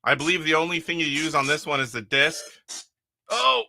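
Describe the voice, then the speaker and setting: A man's voice: fairly high pitch, animated, moderate pace, gravelly, bright, forceful tone, slight background noise. A middle-aged man hosting a casual live stream from his attic game room, rambling and chuckling as he plays and reads viewer comments aloud. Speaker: retro game streamer host.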